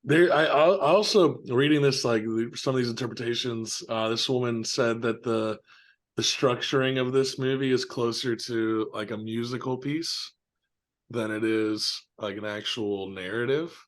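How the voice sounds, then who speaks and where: A man with an average-pitched voice speaks as a co-host in a loose chat about film. His delivery is informal and somewhat halting, as if he assembles each thought while talking. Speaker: podcast co-host. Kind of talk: casual film discussion with friends.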